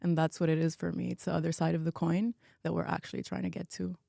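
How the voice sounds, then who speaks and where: A woman's voice: fairly low pitch, little pitch movement, fast talker, gravelly, mellow, no echo, studio quality. A woman from Bahrain speaking as a podcast guest in a casual round-table discussion. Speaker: podcast guest, product manager.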